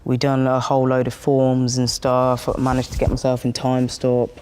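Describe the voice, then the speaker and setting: A British man talks casually, as if recounting things to someone he knows. His pitch is middling and moves little, and his wording is colloquial, with reported exchanges dropped into the telling. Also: completely flat delivery, moderate pace, a little mellow, clean tone. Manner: colloquial, acts out remembered exchanges. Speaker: housing tenant interviewing another tenant. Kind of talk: casual personal storytelling and chat.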